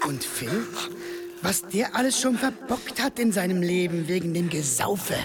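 A woman voicing a taunting, seductive, sinister snail spirit in a German radio play, with slow, menacing, coaxing delivery. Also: on the low side, lively intonation, music in the background. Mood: coaxing, cruel.

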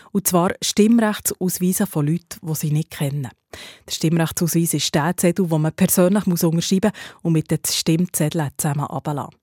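Swiss German woman presenting, mellow low voice. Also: dry recording, studio quality. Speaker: radio news presenter. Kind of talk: regional news presentation.

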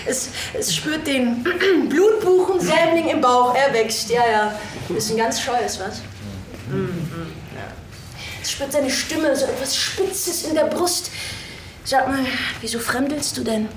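An actress as a playful storyteller on a roomy stage. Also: female voice, a little high, animated, slow, far from the mic. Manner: playful, teasing, laughing asides. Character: woman storyteller chatting with the audience, playful. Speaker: stage actress in a solo show. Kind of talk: theatre monologue to the audience. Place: theatre hall. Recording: echoey stage recording from afar, audience noise.

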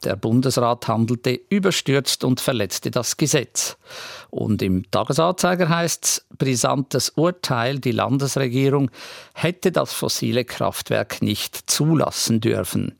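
A man's voice: medium pitch, expressive, unhurried, vocal fry, dry recording, clean studio sound. A man with a Swiss German accent presenting regional radio news from a script.

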